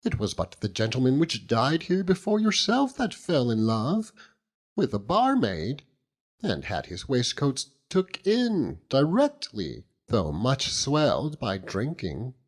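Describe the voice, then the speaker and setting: A man's voice: medium pitch, wildly swooping pitch, slow pace, husky, heavily acted. A man voicing a nosy, motherly middle-aged housekeeper in an audiobook, with gushing, folksy, uneducated speech. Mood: confiding, knowing. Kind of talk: dramatised audiobook character reading.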